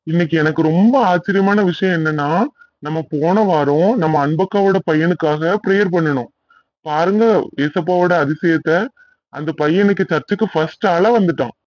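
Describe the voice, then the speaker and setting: High-pitched, earnest cartoon pastor played by a man. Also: moderate pace, a little mellow, no echo, dull audio, natural voice. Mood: pleased, welcoming. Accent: southern Tamil Nadu. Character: kindly, earnest male village church pastor.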